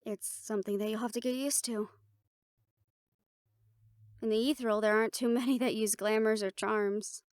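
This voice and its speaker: A woman's voice: fairly high pitch, fairly even intonation, moderate pace, long pauses, no echo, studio quality, lightly acted. A woman playing a confident, playful siren-banshee warrior in a conversational audio roleplay, talking intimately to the listener. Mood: teasing, reassuring.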